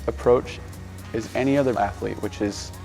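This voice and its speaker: A man who works as a performance coach, speaking in a measured, explanatory documentary interview. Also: on the low side, vocal fry, warm tone, soft background music, rough recording.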